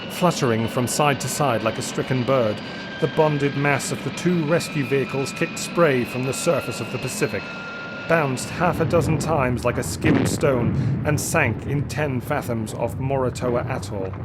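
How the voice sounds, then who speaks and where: A man reading an adventure story as dramatic scripted narration, suspenseful and deliberate, with music behind him. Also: medium pitch.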